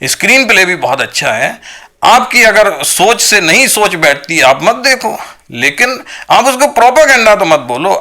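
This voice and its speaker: High-pitched, creaky Indian man arguing his opinion. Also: sharp tone. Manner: argumentative, repeated rhetorical questions and check-in tags. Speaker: video commentator. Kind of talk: opinionated monologue to viewers.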